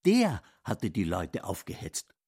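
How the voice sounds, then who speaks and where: An actor playing an indignant, sly petty thief's inner voice in a literary radio drama, with a husky tone. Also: male voice, sits low, huge pitch swings, takes it slowly, mellow, full character voice.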